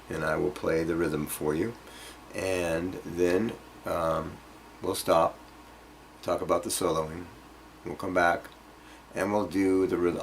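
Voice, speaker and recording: A man giving an informal guitar lesson, explaining things directly to the learner in a halting, conversational way. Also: deep voice, slight background noise. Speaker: guitar teacher. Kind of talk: informal instructional music lesson to camera.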